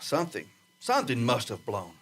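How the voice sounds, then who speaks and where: A man acting an anxious, ageing, gruff test flight chief in a radio drama, with an emphatic delivery. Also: mid-range voice, wildly swooping pitch, brisk, a bit bright, full character voice. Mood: weary, grieving.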